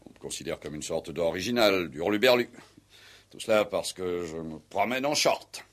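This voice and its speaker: An actor in a 1960s French radio play, playing a proud, eccentric ageing war veteran with a pompous, emphatic delivery. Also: male voice, low voice, normal speed, a bit bright. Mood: dignified, wry.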